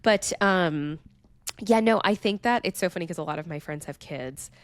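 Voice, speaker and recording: A woman's voice: on the low side, normal speed, dry recording. A woman co-hosting a podcast in casual chat, a bit stuffy from a cold, often acting out little quotes. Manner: chatty, acts out quotes. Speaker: podcast co-host.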